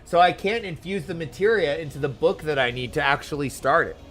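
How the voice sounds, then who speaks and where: A man's voice: fairly high pitch, brisk, a bit bright. A man chatting casually while live streaming a game, giving loose commentary as he plays. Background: game music.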